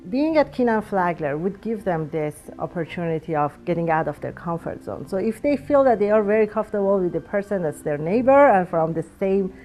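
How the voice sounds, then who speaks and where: A woman's voice: medium pitch, expressive, fairly quick, hardly any pauses, warm tone, soft background music, rough recording. A woman in her thirties whose first language is Farsi, answering interview questions earnestly and sometimes searching for words. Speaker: alumna guest.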